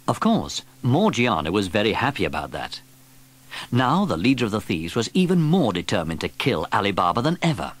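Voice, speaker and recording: A man narrating a children's story from a script in an expressive storytelling manner. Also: lowish voice, a little fast. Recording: vintage cassette tape. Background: music.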